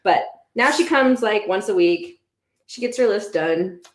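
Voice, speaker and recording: A playful woman in her thirties co-hosting a chatty podcast as a youth weightlifting coach. She laughs easily and jumps in with quick short remarks. Her voice sits at a middle pitch in a roomy, echoey space. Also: lively intonation. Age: 30s. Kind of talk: casual podcast chat. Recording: roomy echo.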